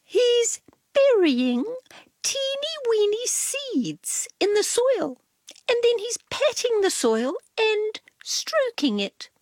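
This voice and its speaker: A woman voicing a haughty, knowing female pet cat from a children's storybook, with a drawn-out, condescending delivery. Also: very high-pitched, very animated, darkish tone, no room sound, pitched above the performer's own voice, exaggerated voice.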